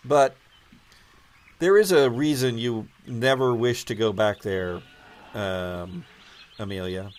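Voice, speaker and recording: A man with a mid-pitched voice runs a tabletop roleplaying game. He speaks slowly and deliberately, often halting as he improvises the narration. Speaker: game master.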